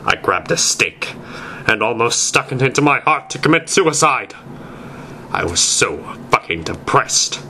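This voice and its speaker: A man's voice: medium pitch, big pitch swings, a little slow, bright, forceful tone, a little hiss or hum. A man narrating fan fiction aloud in a theatrical reading style.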